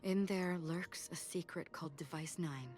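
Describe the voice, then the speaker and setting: A woman voicing a calm, solemn wandering swordswoman in an anime game, composed and wistful. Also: on the low side, moderate pace, natural voice. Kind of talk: anime game dialogue, dramatic. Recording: game music behind.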